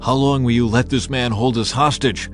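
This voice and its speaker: A man's voice: medium pitch, steady tone, moderate pace, faint music behind, lightly acted. A man voicing an anxious royal official making an urgent appeal in a scripture audiobook. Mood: exasperated appeal.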